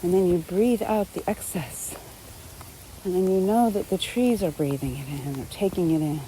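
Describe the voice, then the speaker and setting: A woman leading a guided meditation in a gentle, unhurried manner, speaking unscripted instructions in short phrases with long pauses. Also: on the low side, slight background noise, low-quality recording.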